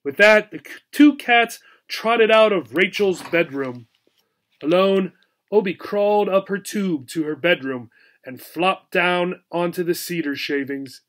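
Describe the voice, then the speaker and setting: A man reading a children's book aloud as a teacher, in an expressive storybook narration style. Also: high-pitched, a little slow.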